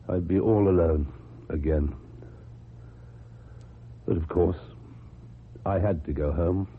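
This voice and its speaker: An actor playing an agitated, stammering husband whose wife has vanished, in a radio drama. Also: male voice, low voice. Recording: muffled, phone-like old radio recording, hissy.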